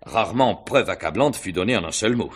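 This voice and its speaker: Low-voiced, husky police inspector. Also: male voice, lively intonation. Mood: methodical, certain. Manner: measured, explanatory, composed. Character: deductive police inspector, calm and methodical. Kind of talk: French radio mystery drama acting.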